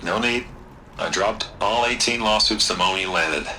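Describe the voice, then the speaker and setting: A smug tech tycoon, deep-voiced and smoothly evasive. His tone is forceful and bright, with faint ambient noise behind. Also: normal speed.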